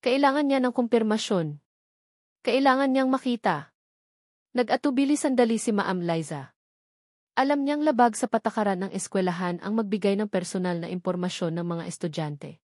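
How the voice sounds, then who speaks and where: A woman's voice: mid-range voice, normal speed, a little mellow, dry recording, clean studio sound. A woman reading a scripted, dramatic romance story as narrator.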